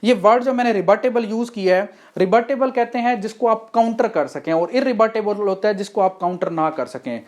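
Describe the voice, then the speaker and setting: A woman's voice: medium pitch, a little fast, hardly any pauses, darkish tone. A woman with a Pakistani accent giving an explanatory law lecture, methodically defining terms step by step and posing rhetorical questions. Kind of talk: explanatory lecture mixing Hindi-Urdu and English.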